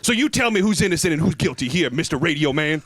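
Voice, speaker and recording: A man's voice: fairly high pitch, animated, quick pace, a bit bright. A man voicing a proud, defensive, conspiracy-minded manatee in a comic cartoon interview, rambling and combative. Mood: aggrieved storytelling.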